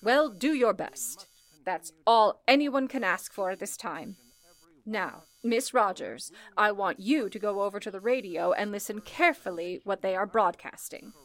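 A woman's voice: mid-range voice, expressive, natural voice. A woman playing a composed, commanding telephone exchange supervisor, speaking firmly and formally as in an old-time radio drama. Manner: firm, deliberate, formal.

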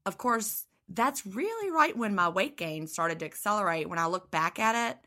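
A woman co-hosting a podcast, a teacher whose voice is slightly hoarse, talking in a friendly, chatty way with some restarts. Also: medium pitch, expressive, normal speed, sharp tone. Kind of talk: casual podcast chat.

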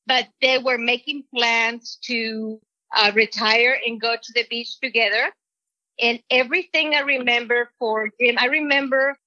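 A woman's voice: fairly high pitch, bright, forceful tone, dry recording. Earnest woman with a Mexican accent. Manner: rambling, earnest, halting restarts. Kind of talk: personal testimony. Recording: dull lo-fi recording.

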